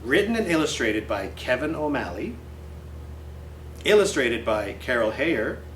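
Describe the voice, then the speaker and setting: A man's voice: mid-range voice, big pitch swings, unhurried, a bit bright, slight background noise. A man reading a picture book aloud in character as a boy, with brash, slangy, emphatic delivery. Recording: roomy echo with faint music.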